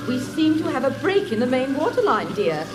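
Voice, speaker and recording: A woman playing a perky, dutiful wife who co-hosts a comic do-it-yourself radio show, sweetly obliging and brightly instructional. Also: high voice, sing-song, a little fast, loud music behind the voice. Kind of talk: radio comedy sketch acting, parody how-to presenting.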